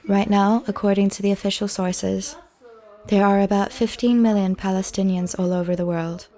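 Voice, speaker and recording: A woman reads a translated voice-over with a mellow, darker tone. Her pitch stays nearly level throughout, and she delivers each line in a flat, measured way. She speaks at a mid pitch for a woman. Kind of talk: scripted voice-over reading.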